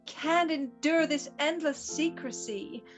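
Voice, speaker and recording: A woman's voice: high voice, slow pace, soft background music. A woman acting a devoted, frustrated lady in love, earnest and pleading, in a radio play. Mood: hurt, pleading. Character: Fanny, devoted, frustrated married lady lover.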